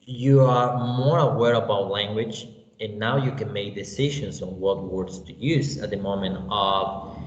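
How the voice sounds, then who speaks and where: A man with a Mexican accent teaching an online English class, unhurried and often checking in with "you know" and "okay?". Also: fairly low pitch, steady tone. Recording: echoey, roomy, dull lo-fi sound with faint hiss.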